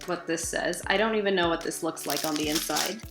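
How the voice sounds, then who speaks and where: A woman in her thirties from the United States, chatting casually as she tries and reviews snacks at home, with animated reactions. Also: low-pitched, fairly quick, loud music behind the voice. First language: English. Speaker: food vlogger. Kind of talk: casual taste-test vlog. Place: living room at home. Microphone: camera at desk distance.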